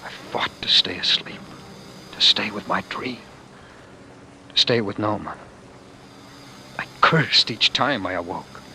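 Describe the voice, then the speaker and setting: A man's voice: fairly low pitch, unhurried, long pauses, sharp tone, slight background noise, full character voice. An actor playing a haunted, intense man narrating his flight from war, brooding and theatrical, in an old radio drama. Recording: vintage radio recording with music behind.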